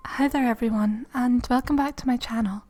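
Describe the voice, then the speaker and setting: A woman with a somewhat high voice talks softly as the host of an ASMR channel. She delivers an outro to her listeners, with music clearly heard behind her. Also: fairly even intonation, fairly quick.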